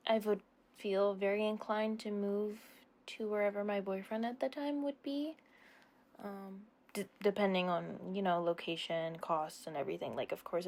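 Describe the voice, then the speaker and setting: A young adult woman answering questions in a casual, informal chat, hedging often and gently poking fun at herself. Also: medium pitch. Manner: informal, hedging, self-deprecating. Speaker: ASMR roleplay creator answering subscriber questions.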